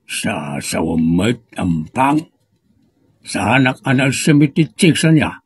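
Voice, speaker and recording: Man acting old husband, comedy drama, grumbling, defensive, slow delivery, husky, dry close recording. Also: mid-range voice, very animated, no echo, exaggerated voice.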